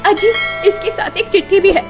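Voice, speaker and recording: A woman's voice: very high-pitched, sing-song, moderate pace, over music, pitched above the performer's own voice, full character voice. A woman acting a poor, long-suffering wife and mother in a cartoon moral story, tender, plaintive and emotional. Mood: earnest.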